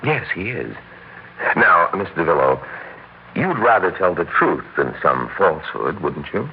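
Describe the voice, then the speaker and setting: An actor in a 1960s radio drama playing a calm, menacing man who exposes a rival. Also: low-pitched, a little slow, slight background noise, full character voice. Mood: cold, gloating. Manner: smooth, quietly threatening. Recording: dull old radio recording.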